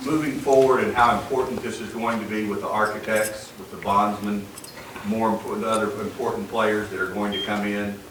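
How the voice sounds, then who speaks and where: A man speaking loudly and bluntly at a school board meeting, recorded from a distance in a room. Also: on the low side, steady tone, fairly quick. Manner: loud, emphatic, blunt. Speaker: staff member handling the meeting's live stream. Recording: far from the mic in an echoey room with background noise.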